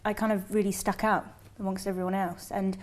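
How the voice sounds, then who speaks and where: A woman's voice: mid-range voice, flat delivery, fairly quick. A young woman from Bangladesh, a guest in a TV studio, speaking English as her second language and telling her story earnestly, with lots of "you know" and "um". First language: not English. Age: young adult. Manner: earnest, many fillers and you knows. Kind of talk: personal story in a studio interview.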